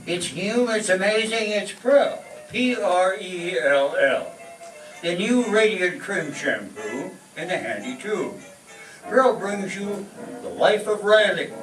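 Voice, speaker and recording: A man's voice: sits high, lively intonation, takes it slowly, fairly bright tone, echoey room. Man, vintage radio announcer style, distant mic, faint music. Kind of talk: 1940s radio sponsor announcement.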